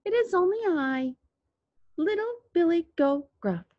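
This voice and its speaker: A woman's voice: very high-pitched, expressive, a little slow, dry recording, highs cut off, pitched above the performer's own voice. A woman voicing a tiny, timid but clever little male billy goat in a storybook tale, with a small pleading voice. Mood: meek, coaxing.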